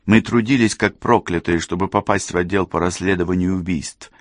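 A man's voice: sits low, fairly quick, dry recording, studio quality, close to an everyday voice. A man voicing a young female detective who pleads in a strained, hesitant, apologetic way. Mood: pleading.

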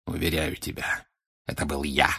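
A man acts out a grim older male wizard for an audiobook. The voice is low and husky, with deliberate, probing questions and an insistent menace. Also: a bit bright, exaggerated voice. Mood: quiet, menacing. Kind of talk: audiobook character acting.

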